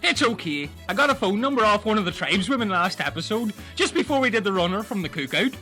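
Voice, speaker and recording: Shrill, excitable man. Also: quick pace. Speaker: cast member playing Jimmy. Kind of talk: improvised comedy acting. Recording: amateur recording with music behind.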